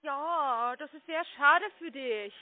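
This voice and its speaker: A woman calling as a phone scammer, talking in a blunt, halting way on a phone line. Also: high voice. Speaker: phone scam caller. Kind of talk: phone call.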